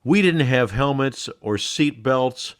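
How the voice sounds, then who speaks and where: A man's voice: medium pitch, slow, clear, resonant tone. A middle-aged man co-hosting a podcast, bantering satirically in a wry, mock-serious way. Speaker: podcast co-host.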